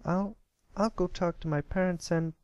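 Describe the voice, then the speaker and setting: A timid little cartoon colt, as a male narrator plays him, reluctant and a bit sad. He speaks in a slightly raised pitch, hesitant and stammering. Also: steady tone, normal speed, a little mellow, no echo, lightly acted. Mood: nervous.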